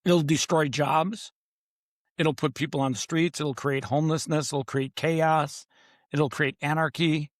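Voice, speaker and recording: A man's voice: fairly high pitch, steady tone, a little slow, no echo, studio quality. A man who is a podcast guest and author, talking in a confident, emphatic way. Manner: emphatic, confident, repeats "literally". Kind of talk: casual podcast interview.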